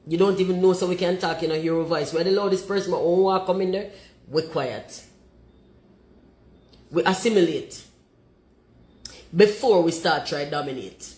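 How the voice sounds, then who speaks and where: A Jamaican woman with a deep voice, talking forthrightly and emphatically and slipping into patois. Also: normal speed, pauses often, darkish tone, echoey room, poor audio. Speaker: commentator.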